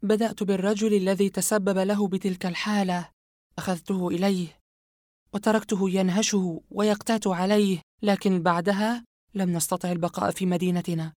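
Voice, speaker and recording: A narrator voicing a mocking young woman in a horror audiobook, scornful and cold as she confesses a dark secret. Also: medium pitch, little pitch movement, a little fast, no room sound, studio quality, pitched above the performer's own voice, close to an everyday voice. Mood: confessing.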